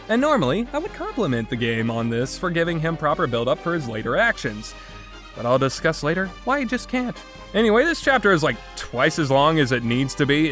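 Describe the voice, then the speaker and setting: Guy ranting thru a game review, super sarcastic n exasperated, pitch all over the place, faint music under him. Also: fairly bright tone. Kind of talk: opinionated review commentary.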